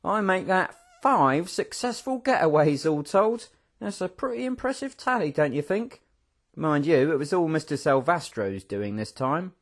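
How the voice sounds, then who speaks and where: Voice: medium pitch, lively intonation, normal speed, pitched above the performer's own voice, close to an everyday voice. Reader putting on a cocky jaunty escape artist, breezy bragging. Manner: jaunty, boastful. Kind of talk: audiobook character voice.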